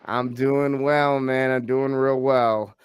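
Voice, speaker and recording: A man's voice: mid-range voice, little pitch movement, takes it slowly, smooth, dry recording, studio quality. A young man hosting a casual combat-sports podcast, a little stuffy from a cold, laid-back with lots of fillers and "bro". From Pacific Northwest, US. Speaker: podcast host. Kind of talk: casual interview chat.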